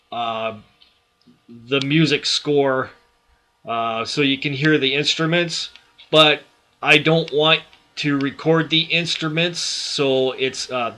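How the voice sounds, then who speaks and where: A man giving a software tutorial, explaining each step in an unhurried way with many fillers, speaking into a desktop studio microphone. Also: medium pitch. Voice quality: loud voice. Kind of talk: screen-recorded tutorial.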